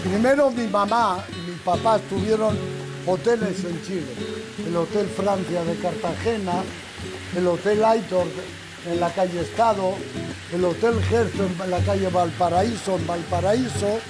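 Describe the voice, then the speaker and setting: A man's voice: high voice, a little slow, rough recording. An older man from Spain, a restaurant chef chatting and joking while he cooks in his kitchen. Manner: jovial, joking, storytelling. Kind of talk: casual chat while cooking. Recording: loud background music.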